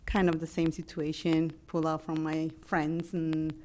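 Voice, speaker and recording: Low, flat-voiced woman. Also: moderate pace. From Peru. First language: Spanish.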